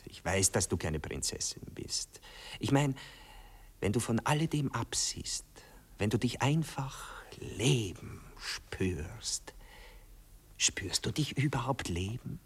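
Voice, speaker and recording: An actor playing a vain, rapturous poet wooing his lover in an Austrian accent, theatrical and breathy, in a 1960s radio play. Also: medium pitch, expressive, takes it slowly, in a whisper. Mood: coaxing. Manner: theatrical, breathy murmurs, swooning declamation.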